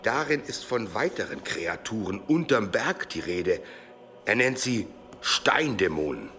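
Scholarly monk, animated and tense, deferential, radio play, faint ambience. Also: male voice, fairly low pitch, measured pace, slight background noise, full character voice. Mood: anxious, explanatory. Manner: deferential, explanatory. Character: dutiful monk scholar reporting old legends. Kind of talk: radio drama acting.

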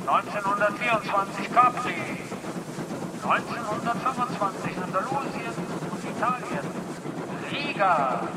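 A woman's voice: mid-range voice, expressive, a little slow, long pauses. A woman reading a scripted list, with wind and boat noise behind her. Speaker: reader. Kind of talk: scripted reading of dates and places. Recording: loud wind and water-vehicle noise.

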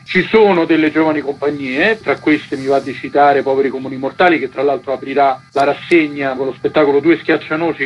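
A man giving a spontaneous, explanatory radio interview as a guest who organises theatre events. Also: fairly high pitch. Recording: dull, lo-fi radio interview sound.

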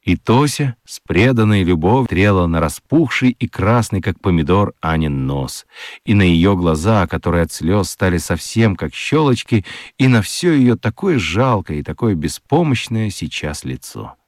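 A man narrating a children's radio story in an expressive, scripted storytelling style. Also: low-pitched, moderate pace, dry recording, clean studio sound.

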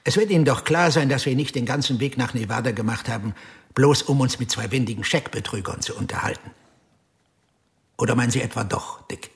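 A man voices a patient, probing detective in a radio drama. His voice sits at an ordinary male pitch, level and measured, and it keeps pressing without raising itself. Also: vocal fry, close to an everyday voice. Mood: steady. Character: calm, probing male police investigator. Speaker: police detective.

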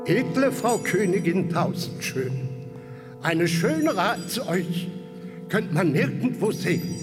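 A solemn magic mirror in a fairy-tale radio drama. The high voice rises and falls in singsong rhyme, drenched in long reverb over clear music. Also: male voice, very animated, slow, full character voice. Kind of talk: radio play acting.

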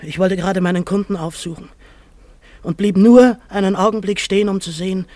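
A man's voice: sits high, fairly even intonation, brisk, dark tone, dry recording, lightly acted. A man acting a servile, frightened barber in a German radio play, obsequious, pleading and evasive. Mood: servile, nervous.

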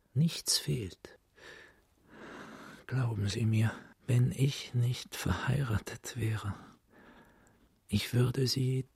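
An actor playing a scheming middle-aged gentleman who flatters and commands with calculated courtesy in a radio play. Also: medium pitch, husky, no room sound, clean studio sound, full character voice. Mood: seductive, confiding. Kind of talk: German literary radio play acting.

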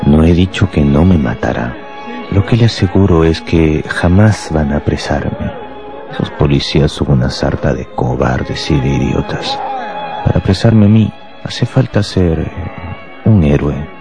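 An actor playing a smug, contemptuous anarchist bomb-maker in a radio drama, speaking deliberately. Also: male voice, rumbling low, expressive, exaggerated voice. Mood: scornful. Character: small shabby anarchist bomb-maker, fanatical, contemptuous, boastful. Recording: loud music behind the voice.

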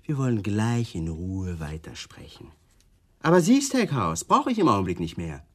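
An actor playing a mocking, patronizing police interrogator in a German radio crime drama. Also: male voice, fairly low pitch, huge pitch swings, normal speed. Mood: sardonic, commanding. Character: sardonic, condescending male police interrogator, baiting suspects.

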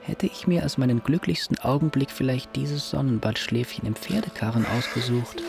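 A man's voice: medium pitch, normal speed, creaky, natural voice. An actor playing a male war veteran who looks back with wry, understated melancholy in a German radio play. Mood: wry reflection.

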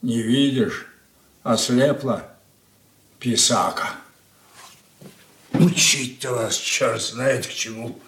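An actor playing a gruff, domineering older merchant father in a radio drama, blunt and scolding. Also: medium pitch, slow, pauses often, a bit bright. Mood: scornful. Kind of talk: Soviet radio drama stage acting. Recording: roomy echoing studio radio-play recording.

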